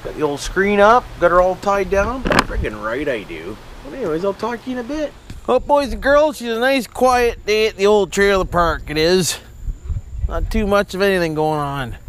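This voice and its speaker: A man's voice: sits high, expressive, normal speed. A man with a rural Ontario Canadian accent vlogging casually outdoors, with folksy, repetitive slangy tag questions. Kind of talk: casual vlog chat to camera. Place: outdoors at a campground, trail and lake. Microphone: handheld action camera. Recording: windy, noisy outdoor camera audio.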